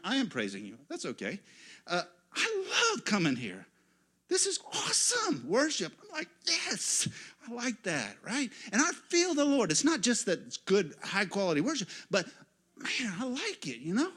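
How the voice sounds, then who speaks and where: A man preaching in a church, telling stories and swinging from quiet asides to loud, excited exclamations. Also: sits high. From United States. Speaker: guest pastor. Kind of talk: sermon.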